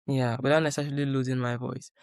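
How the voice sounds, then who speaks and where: A woman guest in a conversational interview, reflective and calm, repeating her key phrases for emphasis. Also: extremely low pitch, quick pace, no echo, studio quality. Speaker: guest, doctoral researcher.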